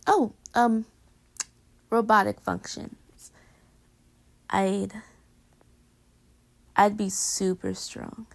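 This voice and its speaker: A woman's voice: medium pitch, gravelly. A woman playing a playful, clingy young girlfriend in an intimate pillow-talk roleplay audio, teasing and affectionate as she rambles between murmurs and exclamations. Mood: animated, mock-indignant.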